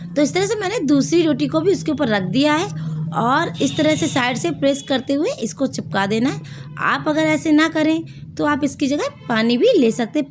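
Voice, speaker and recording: A woman hosting a home cooking video, hoarse from a sore throat, chatting to viewers as she explains each step. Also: high voice, darkish tone. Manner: chatty, addresses viewers directly. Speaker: cooking channel host.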